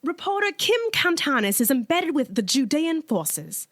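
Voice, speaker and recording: Female news anchor acted, high and lively, brisk questioning, radio drama, noisy background. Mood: brisk, probing. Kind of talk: parody radio newscast. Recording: clear background noise behind the voice.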